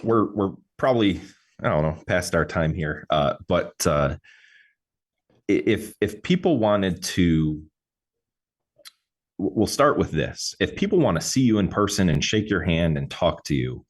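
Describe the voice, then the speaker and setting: A man with an extremely deep, low voice hosts a relaxed talk. He speaks haltingly, circling back and restarting his sentences as he goes. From New York State. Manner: halting, many restarts and fillers. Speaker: podcast host, mover. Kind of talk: casual interview chat.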